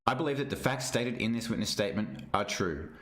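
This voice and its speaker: Low-voiced man reading formally in an echoey room. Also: lively intonation, a little fast, fairly bright tone. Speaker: reader of a legal document. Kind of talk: formal read-aloud narration of a witness statement. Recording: roomy, echoing sound.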